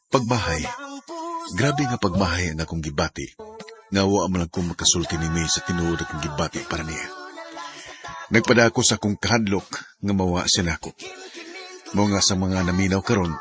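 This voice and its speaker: A deep-voiced, lovelorn young Cebuano man. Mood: regretful. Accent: Cebuano Filipino. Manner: earnest, sentimental. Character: young man secretly in love with his best friend. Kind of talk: Filipino radio drama acting with inner monologue.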